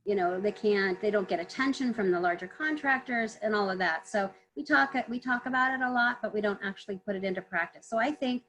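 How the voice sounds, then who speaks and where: A woman guest on a video call webinar, answering earnestly, clearing her throat often as she is losing her voice. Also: medium pitch, brisk, few pauses, fairly bright tone, low-quality recording. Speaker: trade consultant guest.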